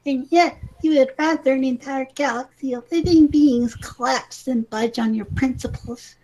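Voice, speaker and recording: Haughty young princess, played by a man, theatrical falsetto, very high, defiant. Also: moderate pace, a little mellow, poor audio. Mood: indignant.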